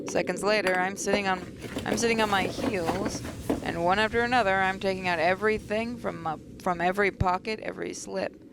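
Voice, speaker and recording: A woman's voice: mid-range voice, steady tone, a little fast, gravelly, background noise. A woman hosting a gaming video, reading a visual novel aloud with asides and occasional stumbles. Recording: voice over game music.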